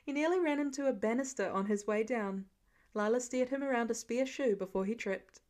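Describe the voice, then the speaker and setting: Woman, fairly high voice, scripted fiction narration, storyteller. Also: a little fast, darkish tone. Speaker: podfic narrator.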